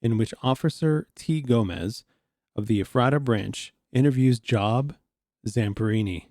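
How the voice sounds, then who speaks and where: A man's voice: mid-range voice, measured pace, darkish tone, dry recording, clean studio sound. A man hosting a reaction video, reading text aloud and adding informal, incredulous asides. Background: music.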